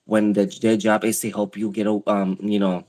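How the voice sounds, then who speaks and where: Guy w a spanish accent, kinda low voice, rambles n cuts himself off a lot. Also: flat delivery, normal speed, darkish tone. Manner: rambling, self-interrupting, candid. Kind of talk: casual chat.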